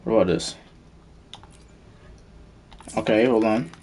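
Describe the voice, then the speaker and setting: A man's voice: on the low side, slow, darkish tone. A man streaming a video game, giving casual commentary and muttering to himself as he thinks aloud. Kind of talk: casual live gameplay commentary.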